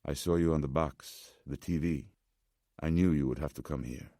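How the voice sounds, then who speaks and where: A man voicing an anxious, formal old gentleman with a Slavic accent, speaking deliberately as an audiobook character. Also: very deep voice, no room sound, studio quality. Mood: earnest, urgent. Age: older. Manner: formal, deliberate, agitated but striving to speak calmly.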